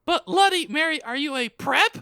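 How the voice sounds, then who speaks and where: A woman's voice: sits high, animated, moderate pace, pushed and bright, no room sound, studio quality, heavily acted. A woman voicing an angry, dramatic teenage goth girl in a comic story reading, indignant and exaggerated. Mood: excited, shocked.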